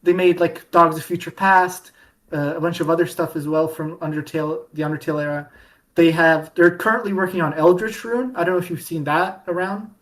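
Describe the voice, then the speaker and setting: A woman hosting a podcast in a casual fan-discussion style, with halting delivery that often repeats and restarts phrases. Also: on the low side. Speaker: podcast host.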